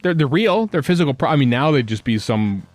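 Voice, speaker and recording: A man's voice: fairly high pitch, very animated, fairly quick, faint music behind. A man hosting a podcast, chatting casually about a TV series in a jokey way. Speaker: podcast host.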